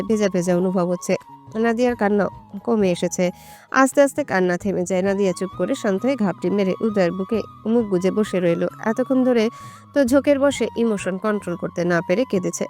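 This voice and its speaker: A woman tells a romantic story in scripted, dramatic narration. Her voice sits at a middle pitch, with music playing underneath. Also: a little mellow.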